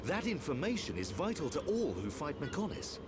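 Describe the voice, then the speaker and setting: A serious young soldier in a game cutscene. He is blunt and forthright, with lively intonation over loud ambient noise. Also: a little high, fairly quick. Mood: indignant. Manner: blunt, questioning. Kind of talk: video game cutscene acting.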